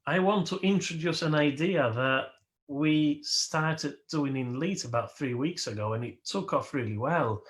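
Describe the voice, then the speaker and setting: A man whose first language is Polish, leading a worship service as its host and speaking slowly and reflectively, with emphatic rises, over a video call. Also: fairly high pitch, a bit bright. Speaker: worship leader. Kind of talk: sermon.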